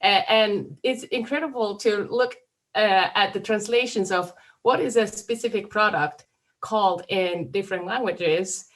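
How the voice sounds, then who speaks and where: A woman from Finland speaking English with a Finnish accent as a panel moderator, hesitant with many pauses. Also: mid-range voice, fairly bright tone.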